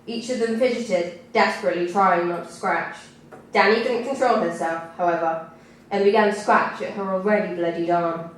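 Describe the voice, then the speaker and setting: A woman reading her own writing at a live literary event, with a measured, deliberate delivery. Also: medium pitch. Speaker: compere and writer reading own work. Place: indoor live event venue. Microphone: distant camera recording. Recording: echoey room recorded from far off, faint noise.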